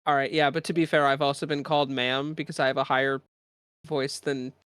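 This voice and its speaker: A man with a fairly high voice for a man, co-hosting a loose podcast. He giggles often, swears freely and rambles. His voice sounds dry and close to the mic. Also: flat delivery, brisk, fairly bright tone, clear, resonant tone, no echo. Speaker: podcast co-host. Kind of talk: casual chat.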